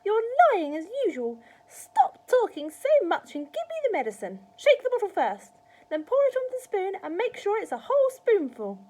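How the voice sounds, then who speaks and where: Shrill, tyrannical old grandmother. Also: huge pitch swings, moderate pace, full character voice. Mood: bossy, scolding. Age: elderly. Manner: shrill, shouting, scolding. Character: cantankerous, tyrannical old grandmother, a shrieking hag. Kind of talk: children's storybook character voice.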